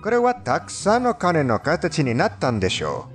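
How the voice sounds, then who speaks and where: A man's voice: fairly high pitch, huge pitch swings, moderate pace, faint music behind. A man with a non-native accent delivers scripted countdown narration in a formal, somewhat stilted storytelling manner. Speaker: narrator of a fandubbed countdown video.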